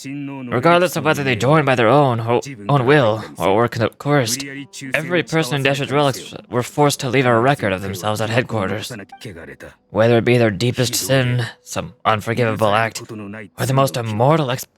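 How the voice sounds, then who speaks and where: A man voicing a grave, loyal desert gang member in a game, explaining things in a measured amateur read. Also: mid-range voice, natural voice. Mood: grave, explanatory. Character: grave, loyal male desert gang member recounting his boss's sacrifice. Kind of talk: amateur voice acting of game dialogue.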